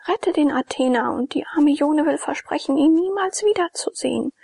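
A woman's voice: sits high, normal speed, vocal fry, warm tone, dry recording, voice pushed up. A woman whispering as a proud defiant young woman. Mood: pleading. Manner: solemn, defiant.

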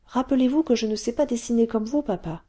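A woman's voice: on the high side, fast talker, warm tone, voice pushed up, close to an everyday voice. Woman doing an eager little cave girl. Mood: explaining. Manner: eager, pleading, chatty. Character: excited cave girl, bossy and curious.

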